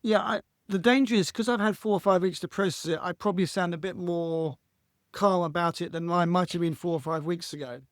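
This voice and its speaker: A man with a husky voice, a podcast guest talking reflectively and earnestly, often restarting his phrases. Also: sits high, steady tone, fairly quick, no echo, clean studio sound. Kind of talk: reflective conversational interview.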